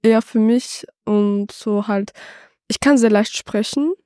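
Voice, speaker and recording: Mellow-voiced student, Turkish native. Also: female voice, on the high side, measured pace, no echo, clean studio sound. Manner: rambling, restarting phrases. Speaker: student interviewee.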